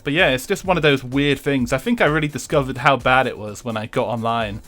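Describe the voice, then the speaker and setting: A man's voice: medium pitch, brisk, a bit bright, soft background music. A young English man chatting casually with his viewers while streaming a racing game, swearing freely and laughing often.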